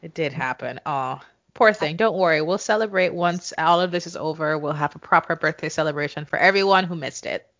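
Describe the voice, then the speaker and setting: Brief: thirties woman, podcast co-host, very low voice, frank and laughing, dull lo-fi audio. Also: a little fast, fairly bright tone, no room sound. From Jamaica. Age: 30s. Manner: candid, laughing, frequent swearing. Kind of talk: casual chat.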